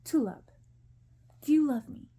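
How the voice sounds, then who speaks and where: A woman's voice: sits high, animated, unhurried, dark tone. A woman voicing a needy young prince in a storybook reading. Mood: desperate.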